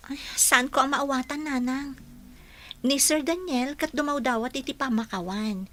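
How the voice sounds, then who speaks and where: A woman's voice: high-pitched, normal speed, pushed and bright. Woman acting an earnest, hesitant young office woman. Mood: troubled. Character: earnest, torn young female office employee. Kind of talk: Ilocano radio drama acting.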